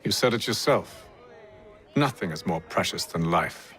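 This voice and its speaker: An actor playing a composed, principled gentleman leader in a video game, speaking formally with measured, deliberate phrasing. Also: low voice, animated. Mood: probing, reproachful. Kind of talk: video game cutscene acting.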